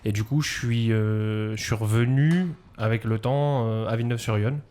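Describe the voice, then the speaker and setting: A man's voice: fairly low pitch, normal speed, a little mellow, slight background noise. A man coaching combat sports, answering in a radio interview with many hesitations.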